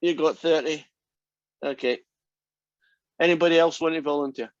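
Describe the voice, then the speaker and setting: A man's voice: fairly high pitch, steady tone, normal speed, dry recording. Chatty teacher in his sixties. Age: 60s. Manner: chatty, checks in with "right?". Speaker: math teacher. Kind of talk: online class lecture. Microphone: computer mic on a video call. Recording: dry, dull lo-fi call audio.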